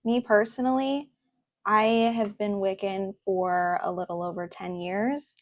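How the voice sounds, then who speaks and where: A young woman talks to her viewers from her desk at home in a relaxed reaction chat. Her pitch sits in the middle, and she comes across earnest and chatty. She pauses often and fills the gaps with ums. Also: no echo, muffled recording. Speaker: host. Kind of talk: casual reaction video, reading posts aloud.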